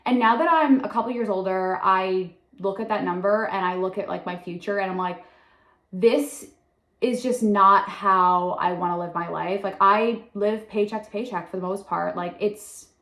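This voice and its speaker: A woman's voice: mid-range voice, normal speed, fairly bright tone, roomy sound. A woman, talking candidly to camera in a casual introduction, filling her speech with "like". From Long Island, New York. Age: 20s. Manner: chatty, heavy use of "like", candid. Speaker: content creator. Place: room in her sister's house.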